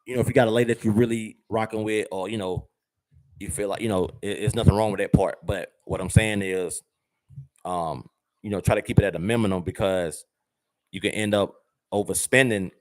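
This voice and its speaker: A man's voice: fairly low pitch, fairly even intonation, a little fast. A man giving viewers casual travel advice, rambling with fillers and repeated words and using slang. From United States. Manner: uses slang, addresses viewers as fam and y'all. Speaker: travel vlogger.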